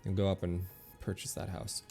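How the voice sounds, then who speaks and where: A man with a low, mellow voice talks through a video game as he plays. He rambles and thinks aloud in a casual way, with some gravel in the tone and faint game music behind him. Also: flat delivery, normal speed. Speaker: game streamer. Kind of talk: casual gameplay commentary.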